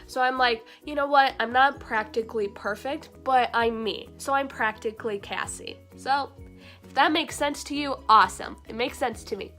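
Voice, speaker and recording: A young woman vlogger talks in a chatty, excitable way, with a voice sitting a little high for her. A recent cold leaves her sounding slightly nasal. Light music plays softly behind her. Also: normal speed. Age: young adult. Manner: bubbly, chatty, frequent fillers and laughs. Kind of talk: casual vlog chat.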